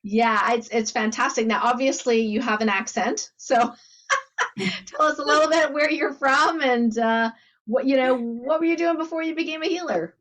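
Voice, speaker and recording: A woman hosting an interview, speaking enthusiastically and filling pauses with uhs. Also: high-pitched, a bit bright. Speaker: host, academy founder.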